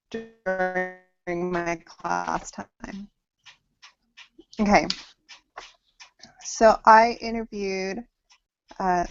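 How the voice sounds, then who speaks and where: A woman in her thirties from Samoa and Hawaii, presenting to an online class in a halting way with frequent pauses, heard over a video call. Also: mid-range voice, no echo. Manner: halting, pausing often mid-sentence. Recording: dull lo-fi video call audio.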